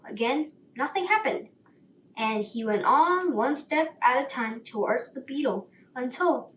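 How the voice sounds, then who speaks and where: A woman reading a bedtime story aloud as a narrator. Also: sits high. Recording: roomy, distant mic, faint noise.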